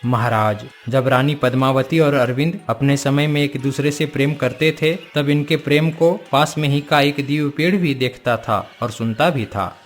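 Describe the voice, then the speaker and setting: A man's voice: medium pitch, normal speed, hardly any pauses, faint music behind, close to an everyday voice. A man playing a wise, all-knowing forest guru who speaks in a solemn, formal and instructive way, in a cartoon moral story.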